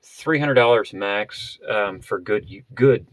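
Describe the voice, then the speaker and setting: A man vlogger explaining gear in a casual, unscripted way, halting and often rephrasing as he goes. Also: on the low side, no echo, low-quality recording. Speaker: vlogger presenting a budget gear setup.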